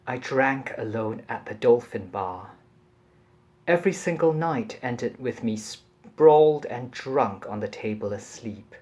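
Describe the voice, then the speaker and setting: Slow-paced man reading literary fiction. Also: medium pitch. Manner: measured, deliberate, expressive storytelling. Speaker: translator reading aloud. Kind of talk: literary reading of a novel passage.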